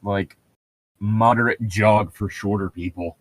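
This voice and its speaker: A man's voice: on the low side, fairly even intonation, unhurried, poor audio. A young man casually bantering and reading aloud in a mocking, sarcastic way, swearing freely. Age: young adult. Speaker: co-host reading and mocking a book.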